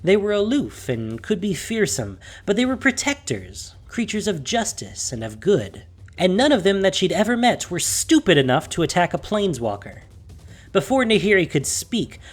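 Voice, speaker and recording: Audio drama narrator: husky, lively intonation, measured dramatic delivery, faint music underneath. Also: mid-range voice. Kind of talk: scripted dramatic story narration.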